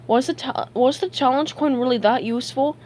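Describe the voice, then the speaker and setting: A woman's voice: high-pitched, a little fast, a little mellow, slight background noise, voice pushed up, close to an everyday voice. A woman voicing a dim, laid-back male cartoon starfish with a casual, matter-of-fact way of talking. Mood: puzzled, casual.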